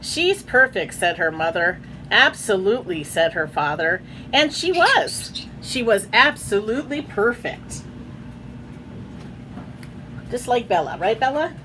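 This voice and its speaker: A woman's voice: mid-range voice, very animated, slow, long pauses, noisy background. A woman reading a children's picture book aloud at home, in a sing-song storybook way, chatting to her pet birds between pages.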